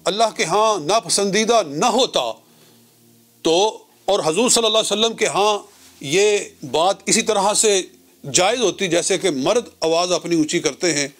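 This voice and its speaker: Male Islamic cleric, notably high register. Measured, didactic religious lecture delivery, phrase by phrase. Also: a bit bright. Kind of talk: religious lecture citing scripture.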